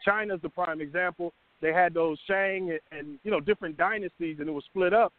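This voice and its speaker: A man with a fairly high voice who talks easily and conversationally, often checking that you follow. The sound is dry and close, squeezed through a narrow, phone-like band. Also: steady tone, a little fast, no echo. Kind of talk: casual group discussion. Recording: dry tinny phone-like line.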